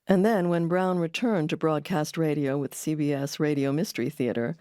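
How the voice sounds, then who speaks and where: A woman hosting an audio documentary, reading scripted narration in a measured, explanatory way. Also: low-pitched, warm tone, no room sound, clean studio sound. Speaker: documentary host. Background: music under the voice.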